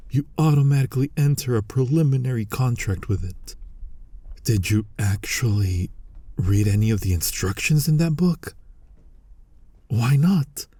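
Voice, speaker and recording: A theatrical, flirty male demon, acted by a man for an ASMR audio roleplay. His voice is low, dark and very husky, close to a whisper at times. He teases and scolds with dry, sardonic dominance, right up at the microphone. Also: no room sound, exaggerated voice. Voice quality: breathy, husky, often slipping into whispers. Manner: slow, teasing, sardonic delivery. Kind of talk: intimate ASMR roleplay acting. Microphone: close, dry studio-style microphone.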